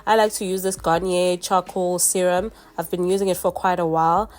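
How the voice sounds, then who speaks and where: A young woman talks through a makeup tutorial in a chatty, explanatory way. She has a cold, so her voice sounds stuffy and congested. Her pitch sits a little low. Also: little pitch movement, moderate pace, a little mellow. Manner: chatty, explains each step. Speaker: makeup vlogger.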